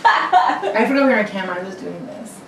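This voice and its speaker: A woman's voice: mid-range voice, very animated, brisk, gravelly, distant mic. A woman bantering casually with fellow performers, playful and full of wry quips. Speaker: voice actor in a script-reading show. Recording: roomy, off-mic, faint background noise.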